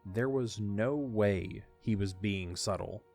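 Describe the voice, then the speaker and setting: A man's voice: on the low side, slow, vocal fry, darkish tone. A man reading scripted story narration as the narrator of an audio drama. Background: faint music.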